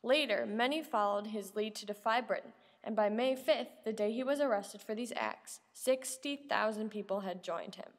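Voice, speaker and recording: A woman's voice: medium pitch, normal speed, hardly any pauses, lightly acted. A woman playing a 1930s radio news reporter, reading scripted bulletins. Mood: earnest. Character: 1930s radio newswoman reporting national, sports, world news. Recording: reverberant room, faint background noise.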